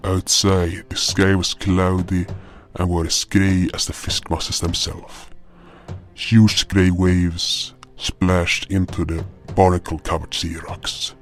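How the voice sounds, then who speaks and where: A man reading scripted first-person fantasy narration as a storyteller. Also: low-pitched. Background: music.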